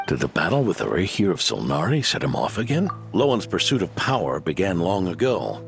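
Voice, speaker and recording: An actor playing an aging, modest, grandfatherly knight with a weathered voice and measured delivery in a video game. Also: low-pitched, big pitch swings, gravelly, soft background music, exaggerated voice. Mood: weary, reflective.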